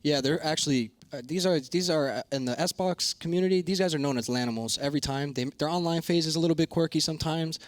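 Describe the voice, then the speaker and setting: A man with a slightly hoarse, raspy voice, chatting informally in slang as a player giving a post-match interview and analysis. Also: on the high side, fast, few pauses, no echo. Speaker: pro esports player interviewed as guest analyst.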